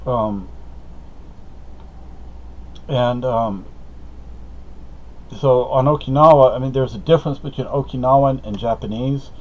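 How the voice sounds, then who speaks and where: A man's voice: medium pitch, measured pace, pauses often. A middle-aged man from Spain, a native Spanish speaker, telling a meandering personal story with many fillers and self-corrections. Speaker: vlogger. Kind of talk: rambling personal monologue. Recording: slightly dull lo-fi audio.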